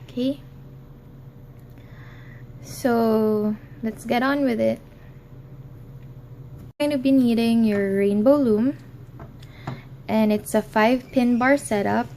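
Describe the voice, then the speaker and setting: A woman's voice: a little high, steady tone, slow pace, pauses often, dark tone, slight background noise. A young woman hosting a crafting tutorial, talking hesitantly with many ums and apologies, recorded in an office. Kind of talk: casual how-to tutorial.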